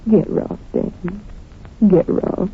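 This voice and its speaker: Eager young sweetheart from old radio show, husky, coy, muffled narrow sound. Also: female voice, mid-range voice, fairly even intonation, very slow, exaggerated voice. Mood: coy, adoring. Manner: curious, coy, affectionate questioning. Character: eager, adoring, playful young woman, detective's sweetheart. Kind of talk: old-time radio drama acting.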